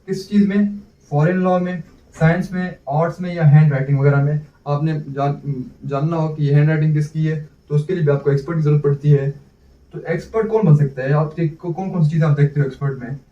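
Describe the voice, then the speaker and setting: A man's voice: fairly high pitch, dark tone. Echoey, distant Pakistani man teaching. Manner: asks himself questions and answers them. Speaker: law teacher. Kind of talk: explanatory exam-prep lecture in Urdu mixed with English terms. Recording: dull, echoey room, distant mic.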